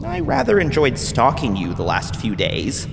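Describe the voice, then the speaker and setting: A man's voice: mid-range voice, wildly swooping pitch, normal speed, creaky, noisy background. A man playing a polite, doubting cult follower in a comedic horror audio drama, earnest and mock-formal. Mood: cheerfully creepy. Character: polite, chatty male cult acolyte with growing doubts. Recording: long hall reverb with music behind.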